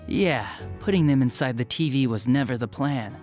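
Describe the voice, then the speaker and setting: An actor playing a smug, spiteful young detective villain in a video game, with a sneering, flippant and theatrical manner. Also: male voice, medium pitch, normal speed, darkish tone, soft background music, natural voice. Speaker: police detective. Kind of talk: dramatic video game voice acting.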